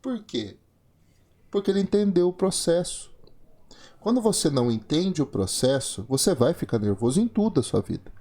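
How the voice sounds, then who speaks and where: A man's voice: fairly high pitch, very animated, normal speed, warm tone. Emphatic Brazilian man in his forties. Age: 40s. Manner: emphatic, repetitive, rhetorical questions. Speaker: trading course host. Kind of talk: motivational monologue.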